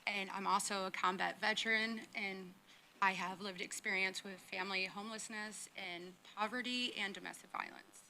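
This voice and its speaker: A woman's voice: medium pitch, fairly even intonation, normal speed, bright, forceful tone, slight background noise, rough recording. A woman peer mentor and veteran introducing herself plainly at a board meeting.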